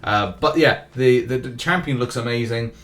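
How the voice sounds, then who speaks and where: A man's voice: mid-range voice, moderate pace, fairly bright tone. A man hosting a gaming news channel, giving casual, informal and enthusiastic commentary on game updates.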